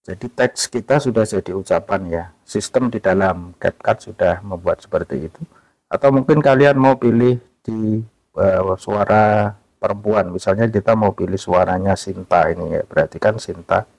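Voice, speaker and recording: A man hosting a casual app tutorial, talking in a relaxed way and tagging "ya" onto most phrases. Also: fairly low pitch, normal speed, vocal fry, no room sound, poor audio. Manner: relaxed, repeats "ya" and fillers often. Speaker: tutorial host.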